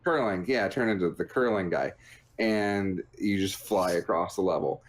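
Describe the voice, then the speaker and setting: Low-pitched guy chatting about games. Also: moderate pace, a little hiss or hum. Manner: jokey and rambling. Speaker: podcast co-host reviewing video games. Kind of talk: casual chat.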